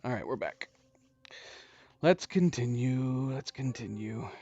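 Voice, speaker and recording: A man's voice: medium pitch, animated, slow pace, a little mellow, breathy edge, highs cut off. A man with an American accent giving casual live commentary while playing a video game, chatting with viewers and laughing often. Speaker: gaming streamer. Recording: game music underneath.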